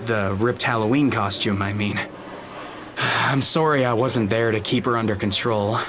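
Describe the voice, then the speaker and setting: An actor playing an awkward, polite teenage high school boy in an audio drama, speaking hesitantly with small stammers. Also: measured pace, background noise, close to an everyday voice. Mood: explanatory, apologetic. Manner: hesitant, stammering, nervous chuckles.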